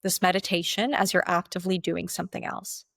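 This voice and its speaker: A woman leading a guided meditation as its guide, speaking in slow, deliberate instructional phrases with pauses. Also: lowish voice, little pitch movement, gravelly, a bit bright, a little hiss or hum.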